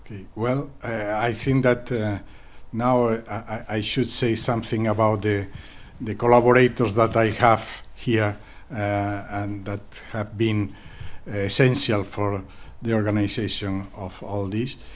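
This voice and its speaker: A man chairing an academic workshop in a large lecture hall, talking haltingly with many fillers and repeated words into a handheld microphone. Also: fairly low pitch, level tone. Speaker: workshop organizer chairing the session.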